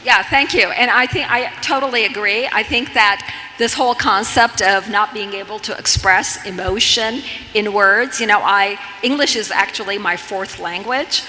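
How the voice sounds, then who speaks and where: A woman's voice: medium pitch, moderate pace, sharp tone. A woman in her fifties whose first language is Armenian, moderating a conference panel with polite questions. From Armenian family. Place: large conference hall. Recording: hall reverb, faint noise.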